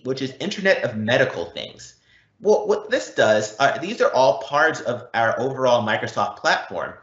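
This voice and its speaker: A man giving an explanatory software demo as a product presenter, in a didactic way with emphatic repetition. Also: mid-range voice. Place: indoor room, recorded live online event. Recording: muffled, roomy live-event recording.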